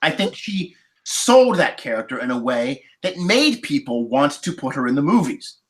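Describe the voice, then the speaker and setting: A man's voice: on the high side, sing-song, moderate pace. A man talking casually as a podcast panelist about film, explaining his points emphatically.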